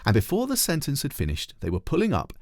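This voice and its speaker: A man reading a children's storybook aloud as a teacher, in an expressive narrating style. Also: medium pitch, quick pace, no room sound, studio quality.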